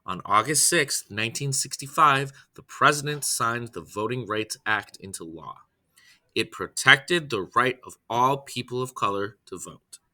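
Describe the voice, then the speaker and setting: A man reading a children's biography aloud from a script, phrase by phrase, in a deliberate way. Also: fairly low pitch, sharp tone. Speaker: reader, storyteller.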